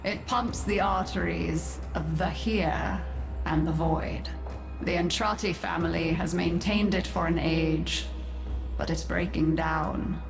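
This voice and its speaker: A weary, scornful matriarch of a strange infested family in a video game. She speaks slowly and broodingly, with haughty theatrical weight. Her female voice rings in an echoey space, over clear music. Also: on the low side, fairly even intonation. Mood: scornful, resigned. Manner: slow, brooding, haughty delivery.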